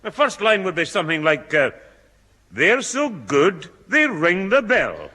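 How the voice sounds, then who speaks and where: High-pitched man correcting a boy. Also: huge pitch swings, measured pace, pushed and bright, heavily acted. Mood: patient. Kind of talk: 1960s radio sitcom acting. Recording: old 1960s radio recording, echoing hall.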